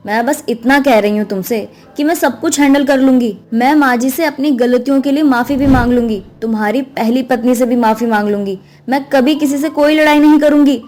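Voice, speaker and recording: A woman's voice: high voice, darkish tone, lightly acted. A woman playing a lovesick young second wife in a cartoon story, pleading earnestly. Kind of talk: Hindi cartoon story acting.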